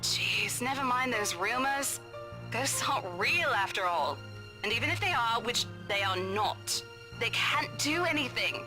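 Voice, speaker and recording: An actress playing a brash, teasing, sceptical young colleague in a visual novel, with a British accent and a breezy, sassy manner. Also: female voice, fairly high pitch, expressive, measured pace, music in the background. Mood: dismissive, breezy.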